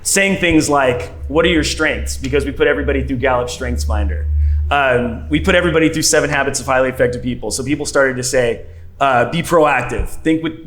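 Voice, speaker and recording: A man speaking on a conference panel, telling a workplace story with animated, shifting pace in a room with an audience. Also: a little high, poor audio.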